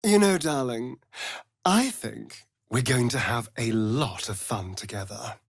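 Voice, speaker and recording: An actor playing an arch, flirtatious, sardonic vampire rogue in a video game, with a theatrical drawl. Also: male voice, mid-range voice, animated, unhurried, gravelly, husky, no room sound, studio quality, exaggerated voice. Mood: playful, sly. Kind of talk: video game character dialogue.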